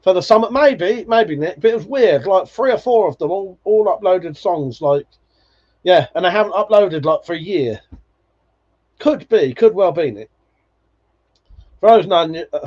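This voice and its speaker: A man's voice: sits high, lively intonation, moderate pace, darkish tone. Man in his forties, swearing casually. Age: 40s. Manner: nostalgic, swears freely, laughs often. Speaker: livestream host. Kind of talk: casual chat reading viewer comments. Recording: dull lo-fi stream audio.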